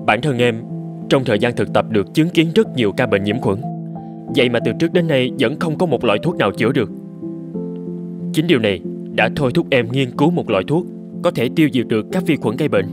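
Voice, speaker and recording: A man's voice: mid-range voice, lively intonation, fast, over music, close to an everyday voice. A man voicing an earnest, idealistic young medical student in a cartoon. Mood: earnest, determined. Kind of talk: cartoon dubbing.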